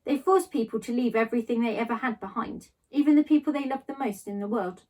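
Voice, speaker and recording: Woman reading as a gentle, patient mum, higher-pitched. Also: quick pace, far from the mic, natural voice. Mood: earnest, sad. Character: gentle, patient mum explaining to her child.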